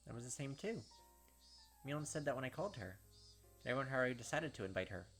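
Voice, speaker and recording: A man's voice: mid-range voice, lively intonation, fast, fairly bright tone, faint music behind. A man reading visual novel text aloud as a let's-play host, dropping casual, slangy asides along the way.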